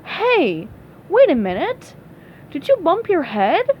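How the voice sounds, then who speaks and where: A woman's voice: extremely high pitch, wildly swooping pitch, slow pace, pitched above the performer's own voice, full character voice. A woman voicing an exasperated, no-nonsense female squirrel from Texas in a children's storybook reading. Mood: frustrated. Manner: emphatic, no-nonsense. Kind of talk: read-aloud children's cartoon storybook. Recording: dull, noisy recording.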